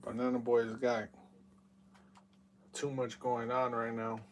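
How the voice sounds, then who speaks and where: A man's voice: mid-range voice, completely flat delivery, takes it slowly, far from the mic, slight background noise. A man in his thirties hosting a live game stream, rambling with lots of fillers and hyped play-by-play. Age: 30s.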